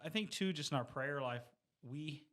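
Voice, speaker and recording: A man's voice: a little high, normal speed, a bit bright. A middle-aged man in a casual faith discussion, speaking in an earnest, plain-spoken way and repeating points for emphasis.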